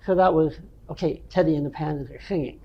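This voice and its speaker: An older man from the North Shore of Massachusetts with a nasal voice, a veteran rock guitarist telling stories from his band days in an unhurried, rambling way. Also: fairly high pitch, dull audio. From North Shore of Massachusetts, USA. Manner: unhurried, rambling storytelling with many ums. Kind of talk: reminiscing interview answers.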